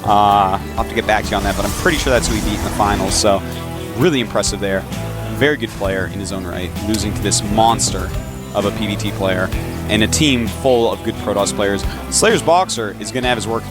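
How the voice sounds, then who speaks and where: A man's voice: on the low side, a little fast, over loud music. A man with a New Hampshire accent giving live color commentary on an esports match, chatty and enthusiastic, breaking down the players. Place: packed event studio.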